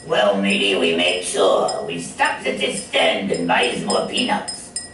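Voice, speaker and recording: An actor playing a gruff, good-hearted cartoon sailor with folksy, ungrammatical talk, in old radio comedy style. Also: male voice, deep voice, flat delivery, slow, music in the background. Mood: jolly, kindly. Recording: roomy stage recording, far mic, faint noise.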